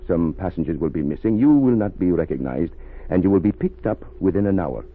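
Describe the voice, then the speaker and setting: An actor playing a cold, domineering spy ringleader with a husky voice and commanding manner in 1930s radio drama. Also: male voice, low-pitched, animated, a little fast. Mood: stern, impatient. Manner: commanding, stern. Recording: tinny phone-like 1930s radio recording.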